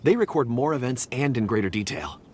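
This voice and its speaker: A man's voice: medium pitch, animated, brisk, darkish tone, slight background noise, close to an everyday voice. An actor playing a polite, modest young man in a video game, earnest and a little hesitant. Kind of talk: video game character acting.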